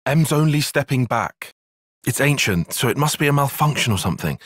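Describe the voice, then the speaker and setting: Precise young tactician, lively pitch swings. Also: male voice, no room sound, clean studio sound. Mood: analytical, serious. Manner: measured, formal, analytical phrasing. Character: earnest young male tactician soldier, precise and bookish. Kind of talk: video game cutscene voice acting.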